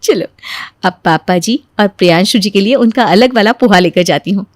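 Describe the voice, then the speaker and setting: A woman voicing a sly, playful young daughter-in-law in a cartoon story, sugary and expressive while feigning innocence. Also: mid-range voice, normal speed, dry recording, clean studio sound, close to an everyday voice. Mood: gleeful, scheming. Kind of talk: animated Hindi moral story voice acting.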